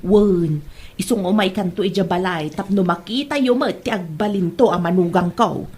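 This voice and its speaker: A woman playing a boastful, gossiping middle-aged mother in an Ilocano comedy drama, with an Ilocano accent and emphatic, drawn-out bragging. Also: fairly low pitch, darkish tone, breathy edge. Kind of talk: Ilocano comedy radio-style drama acting.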